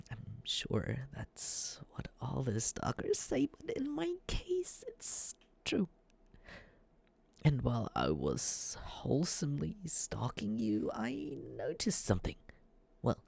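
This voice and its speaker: A man playing a nervous, lovestruck young male stalker in an ASMR roleplay, breathy and halting, stammering earnestly as he softly reassures the listener. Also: medium pitch, no echo, full character voice. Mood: lovestruck, flustered. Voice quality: breathy, whispery. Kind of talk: ASMR roleplay, soft scripted monologue to the listener.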